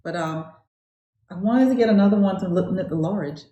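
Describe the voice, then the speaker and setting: A woman in her forties co-hosting a knitting podcast, talking in an animated, laughing way and often repeating herself. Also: fairly low pitch, a little fast, dull audio. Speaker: podcast co-host. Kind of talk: casual chat about knitting. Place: home living room, indoors. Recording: echoey room.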